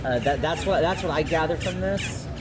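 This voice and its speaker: A man co-hosting a theme park review in a casual, halting, hedging way. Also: fairly high pitch. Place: outdoors in a busy shopping district. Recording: loud music behind the voice.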